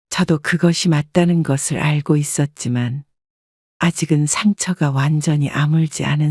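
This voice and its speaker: A woman in her sixties narrating her own story in the first person, calm and reflective. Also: low-pitched, no echo, clean studio sound.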